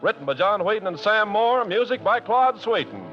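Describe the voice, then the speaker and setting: A man announcing an old radio show, theatrical and polished. His voice sits a bit high and swoops through each phrase. The sound is dull and lo-fi, with faint music behind him. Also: lively intonation, moderate pace. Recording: dull lo-fi 1940s radio broadcast.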